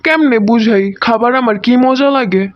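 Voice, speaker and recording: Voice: medium pitch, normal speed, soft background music, close to an everyday voice. A performer voicing a whiny, jealous, food-loving child in a comedic cartoon, with a Bangladeshi accent. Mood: aggrieved. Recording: muffled audio with clear noise.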